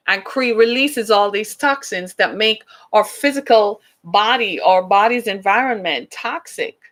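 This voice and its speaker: A woman's voice: on the high side, a bit bright. A woman from the British Caribbean with a Caribbean accent, hosting a podcast in a chatty, emphatic monologue full of rhetorical questions. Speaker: podcast host.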